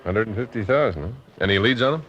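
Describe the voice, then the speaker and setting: A man's voice: fairly low pitch, lively intonation, quick pace, fairly bright tone. A man with an American accent playing a droll, grumbling family-man police officer in a radio drama, with a dry, rambling way of talking. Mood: curious. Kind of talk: 1950s radio drama acting, conversational. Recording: dull, hissy old radio recording.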